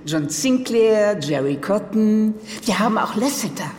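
A woman's voice: mid-range voice, animated, measured pace, darkish tone, close to an everyday voice. A woman playing a polite, patient receptionist at a publishing house in a comedy sketch, businesslike in manner. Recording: echoey reverberant room, faint noise.

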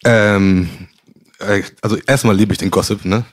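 A man's voice: lowish voice, fairly even intonation, moderate pace. A man from Gambia, a podcast guest telling his story in rambling, slangy casual chat.

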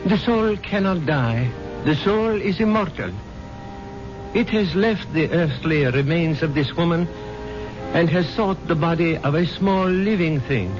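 A man's voice: a little high, animated, a little slow. An actor playing a grave mystical holy man, intoning a eulogy like an incantation in a 1970s radio drama. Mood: solemn, prophetic. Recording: muffled vintage radio broadcast, music behind.

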